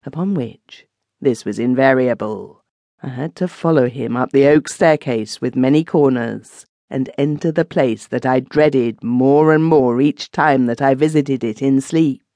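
A male audiobook narrator reads an old ghost story in measured, literary phrasing. His voice sits at a middling pitch. The sound is dry, with no room around it. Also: warm tone, dry recording.

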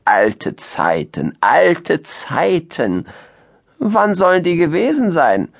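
A man's voice: fairly high pitch, very animated, takes it slowly, gravelly, full character voice. A man playing a quirky old eagle owl in a children's audiobook, grumbling and theatrical, an opinionated know-it-all. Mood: indignant. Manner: grumbling, theatrical, chanting rhymes. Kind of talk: children's audiobook character acting.

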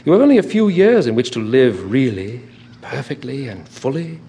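An actor playing a witty, languid aristocratic gentleman in a radio drama, speaking in theatrical epigrams. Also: medium pitch, sing-song, moderate pace, slightly rough, exaggerated voice. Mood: urging.

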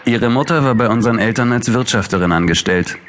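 An actor playing a brooding, sardonic older brother in an audio drama, both narrating and in dialogue. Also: male voice, mid-range voice, fast talker, a bit bright, slight background noise, natural voice. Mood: brooding, reflective. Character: bitter, brooding man in wheelchair, older brother. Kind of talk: German audio drama acting and first-person narration.

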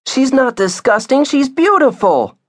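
Man putting on a high-pitched, eager young girl. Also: slow, a little mellow, no echo, studio quality, heavily acted. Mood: indignant. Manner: eager, emphatic, childlike. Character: excitable, pleading young girl, eager dog lover.

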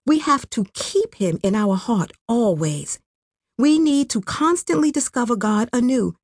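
A woman's voice: a little high, a little mellow, no room sound. Woman reading a memoir, close dry sound. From Rwanda. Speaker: author narrating own memoir. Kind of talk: scripted audiobook narration.

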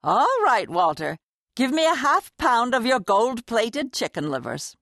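A male narrator voicing a cranky older woman customer who haggles and complains in a sharp, indignant way. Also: very high-pitched, very animated, unhurried, no room sound, clean studio sound, exaggerated voice. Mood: grudging. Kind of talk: audiobook character voice.

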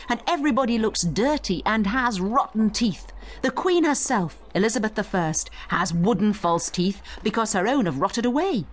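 A woman giving scripted educational narration as a guide, in an instructive, expressive storytelling manner. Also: mid-range voice. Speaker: study guide narrator and tour guide.

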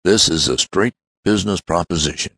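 A persistent fortune hunter , played by a male narrator, pressing his case earnestly. The voice is very deep, with lively pitch, and the sound is dry. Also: fairly quick, no room sound, heavily acted. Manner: earnest, persuasive.

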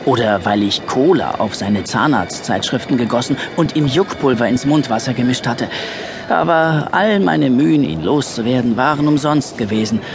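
A man's voice: medium pitch, expressive, moderate pace, noisy background, lightly acted. A man acting a wry, resentful young narrator looking back on boyhood in a scripted audio drama.

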